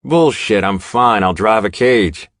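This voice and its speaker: A man performs a stubborn young outlaw biker with a deep voice. He is hot-headed and forceful, barking and growling his lines in a dry, close audiobook sound. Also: unhurried, no room sound, close to an everyday voice. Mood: angry, defiant.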